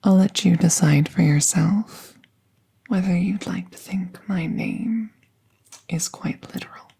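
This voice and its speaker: Woman acting a slow, hushed, gentle magical vet. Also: fairly low pitch, heavily acted. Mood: calm, reassuring. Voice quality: soft, breathy, half-whispered. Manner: slow, hushed, soothing, reassuring. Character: gentle, calm female magical veterinarian treating a wounded werewolf. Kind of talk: soft-spoken roleplay audio, ASMR.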